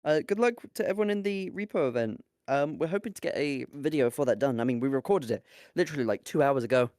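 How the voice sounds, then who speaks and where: A man with a mid-pitched, very lively voice co-hosts an unscripted podcast. The sound is dry and close, and he chats casually with jokes and some swearing. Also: quick pace, dry recording. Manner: joking, sweary. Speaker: podcast co-host.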